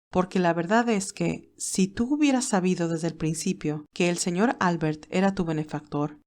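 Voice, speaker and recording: A woman playing a wise, gentle older motherly mentor, speaking in a measured, reassuring way in an audio drama. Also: fairly low pitch, lightly acted. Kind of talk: audio drama character acting.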